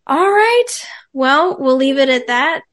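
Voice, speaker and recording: A woman's voice: high voice, animated, slow pace, a bit bright. A woman hosting a podcast interview, asking questions in a friendly, curious way. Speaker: podcast host.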